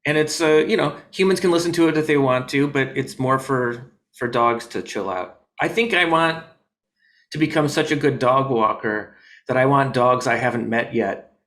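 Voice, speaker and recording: A man as a comedian guest in a casual video-call interview, rambling with deadpan jokes and many fillers. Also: mid-range voice, normal speed. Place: his home in New York.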